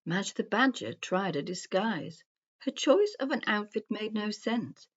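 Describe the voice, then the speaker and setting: A woman narrating a rhyming children's story in a deliberate, sing-song storybook manner. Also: medium pitch, animated. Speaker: story reader. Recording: dull, muffled lo-fi sound.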